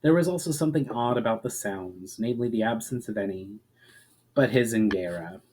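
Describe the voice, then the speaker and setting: A man's voice: lowish voice, animated, brisk, darkish tone, breathy edge, rough recording. Man giving a dramatic fantasy story reading. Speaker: author reading own story aloud. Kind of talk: dramatic audiobook-style narration of fantasy fiction.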